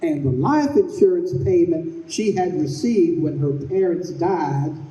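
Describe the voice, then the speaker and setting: A man playing the measured storyteller of an old-time radio play, reading scripted narration. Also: on the high side, animated, warm tone, muffled recording. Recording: echoey live stage.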